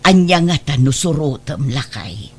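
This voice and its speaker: A woman's voice: rumbling low, very animated, a little slow, darkish tone, slightly rough, heavily acted. A woman playing a worried, protective older mother in a radio drama, with an admonishing, motherly delivery. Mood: suspicious.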